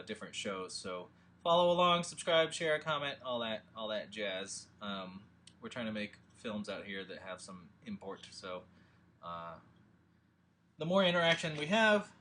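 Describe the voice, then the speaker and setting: A man hosting a casual livestream, talking in a rambling, halting way as he thinks aloud. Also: medium pitch, a bit bright, slight background noise. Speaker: host and filmmaker.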